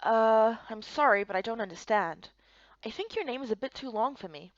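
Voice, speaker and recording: A woman's voice: a little high, animated, normal speed, no room sound, lo-fi sound, close to an everyday voice. A woman voicing a puzzled, polite young newcomer in a visual novel game. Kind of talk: voiced playthrough of fantasy visual novel.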